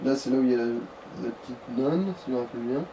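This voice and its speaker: A man's voice: mid-range voice, fast talker, far from the mic, noisy background. A man giving casual, explanatory advice on a video game. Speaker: co-commentator advising the player. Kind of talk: casual gaming commentary.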